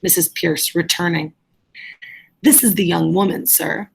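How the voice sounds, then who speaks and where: A woman teacher with a husky voice reading a play script aloud and directing readers in an online class. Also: fairly low pitch, steady tone, a little slow, slight background noise, rough recording.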